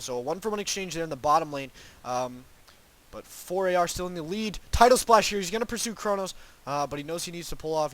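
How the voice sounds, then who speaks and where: A man's voice: fairly high pitch, a bit bright. Congested young man casting a game. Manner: rambling, hedging asides with many ums and restarts. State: congested, sniffling from a cold. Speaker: game caster. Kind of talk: solo play-by-play esports commentary.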